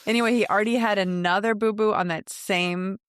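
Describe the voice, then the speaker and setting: A woman's voice: mid-range voice, steady tone, brisk, smooth, no echo. Female podcast co-host, middle-aged, casual storyteller with an everyday mid-range voice, recorded dry. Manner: conversational storytelling, quotes her kids. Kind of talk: casual chatty parenting podcast talk.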